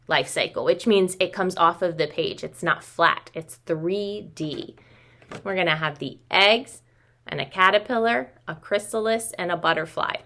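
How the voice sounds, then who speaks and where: An American woman in her thirties, a preschool teacher reading and explaining to young children in an expressive way with questions and drawn-out words. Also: mid-range voice. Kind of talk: children's story read-aloud and craft instruction. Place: small home room with sloped ceiling.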